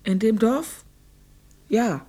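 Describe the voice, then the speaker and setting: A woman's voice: fairly high pitch, lively intonation, extremely slow, warm tone, slightly rough, exaggerated voice. An actress playing a stubborn, distrustful old farm woman who answers curtly and defiantly in a radio drama. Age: older.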